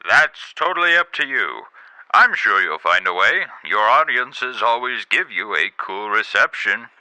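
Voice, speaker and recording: A man playing a pompous, stern president with dry, deadpan insults in a comedy radio play. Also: fairly low pitch, unhurried, no room sound, full character voice, low-quality recording. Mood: brisk, smug. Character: pompous, stern president giving a secret mission, dryly insulting.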